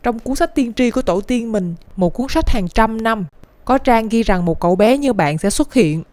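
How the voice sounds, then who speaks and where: A woman's voice: a little high, expressive, moderate pace, a little mellow, no room sound, natural voice. Woman voicing an earnest cartoon rooster scientist, southern Vietnamese. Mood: solemn, persuasive. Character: talking rooster scientist, secretive earnest protector of the Earth. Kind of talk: animated cartoon dialogue. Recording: music behind the voice.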